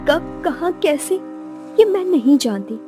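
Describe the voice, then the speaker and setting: A woman's voice: very high voice, lively intonation, a little slow, over music. A woman voicing an earnest, lovestruck young woman in an audio romance drama, tender and emotional. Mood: loving, hopeful.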